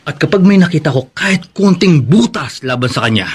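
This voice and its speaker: A cocky Filipino schemer in a radio drama, loyal to his pal. The voice is husky and fairly high for a man, brash and conspiratorial. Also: animated, fairly quick. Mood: cocky, egging on. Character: cocky, scheming, loyal young male would-be brother-in-law.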